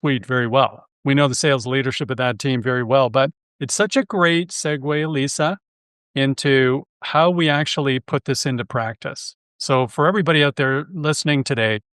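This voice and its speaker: A friendly Canadian man hosts a conversation, talking with easy enthusiasm and frequent self-corrections. His voice sits at a middle pitch and sounds close and dry. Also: normal speed, fairly bright tone, no echo. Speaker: podcast host. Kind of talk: conversational interview.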